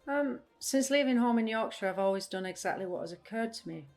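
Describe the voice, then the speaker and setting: A woman's voice: a little high, expressive, a little fast, darkish tone, faint music behind. A woman acting in an audio play as a restless, literal-minded, blunt adult woman with a matter-of-fact way of talking. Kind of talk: audio drama dialogue.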